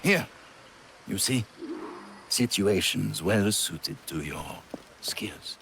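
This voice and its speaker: A man's voice: lowish voice, expressive, very slow, pushed and bright, slight background noise, full character voice. An actor playing a suave, amused French arms smuggler in a video game, with a French accent and a theatrical way of savoring his words. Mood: smug, probing. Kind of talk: video game cutscene acting.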